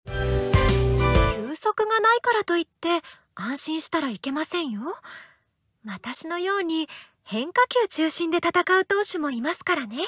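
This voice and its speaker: A woman voicing a calm young swordswoman in an anime-style game, sweetly polite with a sly, needling edge. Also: extremely high pitch, unhurried, soft background music, full character voice. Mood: sweetly teasing. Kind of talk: anime-style mobile game character lines.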